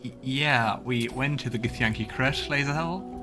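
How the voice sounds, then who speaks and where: A man's voice: mid-range voice, normal speed, over music. A man hosting a let's play, musing aloud in casual game commentary.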